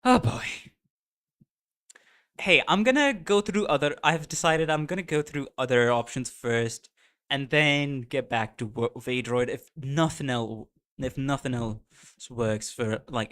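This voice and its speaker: An excitable male streamer with a light Indian accent softened by American and British influences. He talks in a middle register. He chats casually with his viewers, calling out their names as they arrive. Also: mid-range voice, a little slow. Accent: Indian, blended with American and British. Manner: excitable, chatty, greets viewers by name. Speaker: streamer reacting to game music. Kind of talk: casual live-stream chat.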